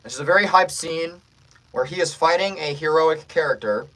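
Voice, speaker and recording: A man's voice: a little high, normal speed, bright, forceful tone, low-quality recording. A man hosting a casual livestream as a voice actor, joking playfully with the viewers as he talks.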